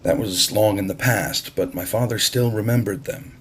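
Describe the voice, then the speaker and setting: A man narrating an audiobook in a deliberate, expressive storytelling style. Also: on the low side, a little hiss or hum.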